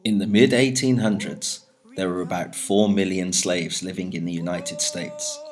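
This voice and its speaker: A man narrating a scripted historical afterword in a measured, explanatory reading, recorded in a school studio. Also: sits low, steady tone. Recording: narration over loud music. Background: music playing under the voice.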